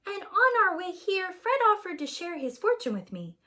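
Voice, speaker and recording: A woman voicing a cheeky, chatty young boy in a storybook, quick to argue back. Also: very high-pitched, very animated, bright, forceful tone, pitched above the performer's own voice, heavily acted. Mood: cheerful. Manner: cheeky, argumentative.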